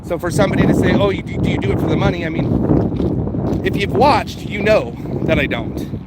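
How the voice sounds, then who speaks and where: A man's voice: high voice, fairly quick. A man chatting casually and informally to viewers as a livestream host, swearing now and then and addressing them directly. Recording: noisy outdoor street and vehicle background.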